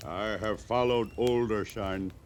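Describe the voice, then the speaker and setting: A proud, grieving Cheyenne band leader in a 1950s radio western. He is low-voiced and very slow, choosing formal, simple words with solemn gravity. The vintage recording carries clear noise. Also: fairly even intonation. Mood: calm, watchful. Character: grave, weary Cheyenne band leader, proud bereaved father.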